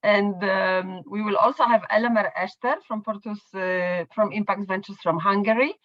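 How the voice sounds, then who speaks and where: A woman's voice: mid-range voice, steady tone, dry recording. Woman moderating over a video call. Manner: friendly, halting with fillers and soft laughs. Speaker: meeting moderator. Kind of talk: hosting an online panel. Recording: muffled video call audio.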